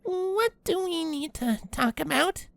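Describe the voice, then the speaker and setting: A woman's voice: very high-pitched, expressive, takes it slowly, vocal fry, dry recording, exaggerated voice. A woman voicing a scared, defiant young pony filly with pleading, emphatic delivery in an acted audio drama. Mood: cautious.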